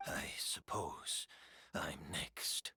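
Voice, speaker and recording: An actor playing a weary, resigned scholar in a video game, speaking in a halting, deliberate way. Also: male voice, whispered. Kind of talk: dramatic game voice acting. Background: game music.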